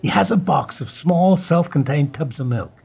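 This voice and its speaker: A man playing a raspy, matter-of-fact office worker. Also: a little high, expressive, moderate pace, darkish tone, full character voice. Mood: calm. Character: calm, helpful male office worker. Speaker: office colleague. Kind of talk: amateur radio play acting.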